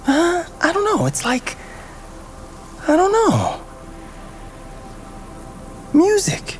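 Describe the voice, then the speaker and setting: An actor playing an anxious, rebellious young soldier in an audio drama, with slangy, agitated delivery. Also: male voice, very high voice, huge pitch swings, very slow, soft background music, full character voice. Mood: uneasy.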